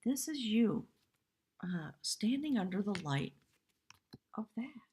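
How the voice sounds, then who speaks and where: A woman with a hoarse voice giving a live spiritual tarot reading in measured, reverent short phrases. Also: medium pitch, big pitch swings, a little mellow. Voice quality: husky, breathy. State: hoarse from much talking. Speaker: tarot reader, live stream host.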